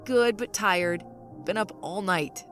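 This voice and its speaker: A woman's voice: mid-range voice, slow, fairly bright tone, faint music behind. A woman playing an anxious, determined woman investigating a conspiracy, in an audio drama. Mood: friendly.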